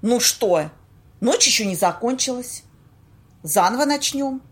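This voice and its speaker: An actress plays a brash, skeptical woman in an audio drama. Her voice is high, and she is blunt, bossy and full of street slang. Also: lively intonation, measured pace, breathy edge. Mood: bossy, impatient. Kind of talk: amateur audio play acting.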